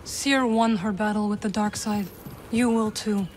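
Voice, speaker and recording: An actress playing a resolute, guarded young witch in a video game, speaking in a measured, solemn way. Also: mid-range voice, steady tone, darkish tone, a little hiss or hum, lightly acted. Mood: calm, accepting. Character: resolute, guarded young woman, a Force-wielding witch ally. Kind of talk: cinematic video game acting. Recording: music behind the voice.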